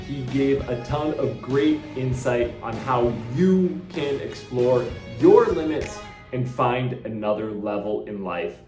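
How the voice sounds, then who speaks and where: A man's voice: medium pitch, lively intonation, slow, hardly any pauses, a little mellow. A man hosting a podcast, chatting enthusiastically and often restarting his sentences. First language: English. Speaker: podcast host. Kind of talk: casual interview chat. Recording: roomy echo with faint music.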